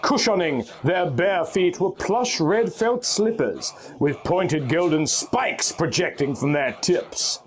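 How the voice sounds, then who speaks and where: A man's voice: on the high side, animated, slow pace, hardly any pauses. A man reading pulp fantasy aloud in a theatrical, scripted way, drawing out words at the ends of phrases. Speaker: narrator reading a story aloud.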